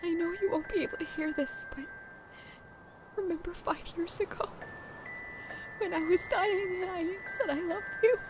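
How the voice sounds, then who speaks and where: Very high voice, heartbroken heroine, emotional, voiced by a woman. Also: moderate pace, pauses often. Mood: tearful. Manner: emotional, pleading, tearful. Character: young woman, remorseful heroine. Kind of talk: fan audio drama acting. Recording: music underneath.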